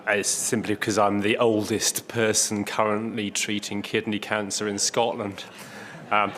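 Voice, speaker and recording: A man's voice: medium pitch, fairly bright tone, a little hiss or hum. A middle-aged man giving an informal keynote talk with dry, understated humour and a hesitant, wry delivery. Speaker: keynote speaker, medical oncologist. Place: large conference hall. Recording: echoey hall with long reverb.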